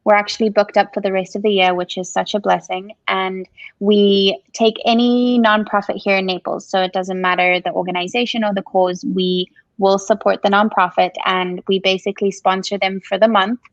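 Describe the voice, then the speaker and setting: A woman's voice: mid-range voice, flat delivery, normal speed, dry recording, muffled recording. A woman from Zimbabwe with a Zimbabwean accent, a coffee shop owner talking earnestly as a guest in a casual interview. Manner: warm, earnest, says "absolutely" often.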